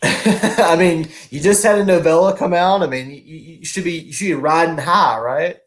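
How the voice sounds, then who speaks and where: A man's voice: fairly high pitch, normal speed. A man hosting a casual author interview over a video call, upbeat and laughing often, with many fillers. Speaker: host.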